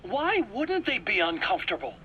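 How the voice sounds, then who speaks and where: A man's voice: high voice, wildly swooping pitch, normal speed, sharp tone, lo-fi sound, a little hiss or hum, heavily acted. An actor playing a frightened, defensive male scientist under interrogation, whiny and pleading, in a dramatic video game.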